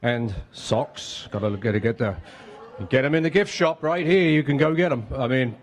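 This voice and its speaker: A man's voice: medium pitch, expressive, moderate pace. A man in his fifties from England speaking to a live audience, joking and bantering the crowd. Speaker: guest speaker. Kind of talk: public lecture. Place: museum cafe with audience.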